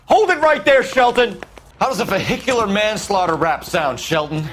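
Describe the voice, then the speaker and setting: Earnest 40s american cop guy, kinda high voice, swings a lot, clipped and bossy. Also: animated, normal speed, fairly bright tone, slight background noise. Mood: stern, accusing. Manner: clipped, authoritative, stern. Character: earnest, by-the-book young male police detective, war veteran. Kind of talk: 1940s crime game voice acting.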